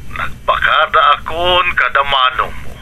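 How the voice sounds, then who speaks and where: An actor playing a homesick, gentle middle-aged father in a radio drama, with an Ilocano accent. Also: mid-range voice, animated, unhurried, a little hiss or hum, rough recording. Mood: earnest. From Philippines.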